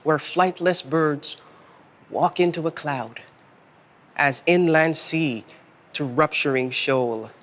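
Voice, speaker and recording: A man reading poetry in a deliberate, solemn manner, pausing between short phrases. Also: fairly high pitch, a bit bright. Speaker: poet reading own work. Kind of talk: measured literary poetry reading.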